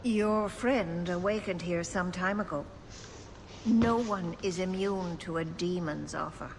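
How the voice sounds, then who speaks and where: Game casting: dignified elven matriarch, lowish voice, slow, formal delivery. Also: female voice, a little hiss or hum. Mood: grateful, formal. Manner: measured, formal. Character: solemn, dignified older elven female clan elder. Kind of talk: video game character dialogue.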